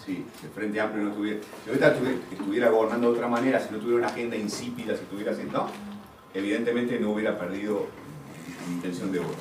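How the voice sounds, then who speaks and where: A man's voice: lowish voice, fairly even intonation, rapid-fire. A man with a Uruguayan accent speaking off the cuff as a forum panelist, often asking questions and answering them himself. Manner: rhetorical, asks and answers own questions. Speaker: political scientist panelist. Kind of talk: extemporaneous answers at a public forum. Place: lecture hall at a business school. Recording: echoey room, distant mic, noisy.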